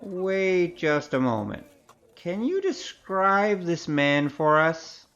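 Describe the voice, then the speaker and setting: A woman's voice: fairly low pitch, animated, slow, fairly bright tone, dull audio, full character voice. A woman acting in an audio drama as a wry, streetwise investigator with a cocky, teasing, casual manner. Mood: shrewd, brisk.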